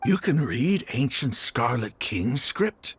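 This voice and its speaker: An actor playing a serious, wary and reserved desert mercenary in a video game. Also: male voice, mid-range voice, very animated, slow pace, a little hiss or hum.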